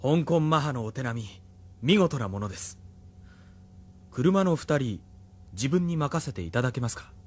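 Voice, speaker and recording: An actor playing a cool, deferential but predatory young officer in an audio drama, polite and dryly military. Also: male voice, mid-range voice, lively intonation, a little slow, long pauses, breathy edge. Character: cool, deferential, predatory male second lieutenant. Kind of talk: Japanese audio drama acting. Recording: loud music behind.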